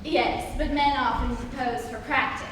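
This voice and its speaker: A coy, self-assured young lady of society, acted by a young woman, speaks in a high, theatrical voice. Her lines have an arch stage-comedy poise. She is heard far from the microphone in a noisy, reverberant hall. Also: steady tone, normal speed, natural voice. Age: young adult. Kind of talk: stage dramatic reading of Victorian comedy. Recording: distant echoey hall, loud background noise.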